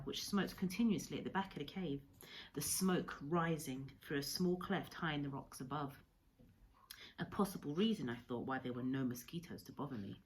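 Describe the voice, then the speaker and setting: Female story reader, low voice, measured and expressive teacher-style narration, noticeable background noise. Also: low-quality recording. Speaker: primary school teacher reading a story to pupils. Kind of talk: read-aloud storybook narration.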